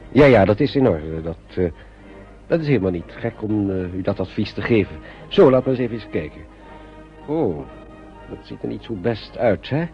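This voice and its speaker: An actor in a radio play as a fussy, obliging army doctor, hesitant and condescendingly kind. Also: male voice, on the low side, expressive, moderate pace. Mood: bland, fussy. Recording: dull old radio play, music behind.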